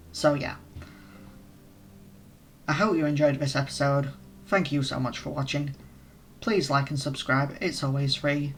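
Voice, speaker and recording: Gravelly man reading game text. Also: on the high side, a bit bright. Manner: casual, asides while reading. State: strained, nearly hoarse voice. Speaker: let's play host reading a visual novel.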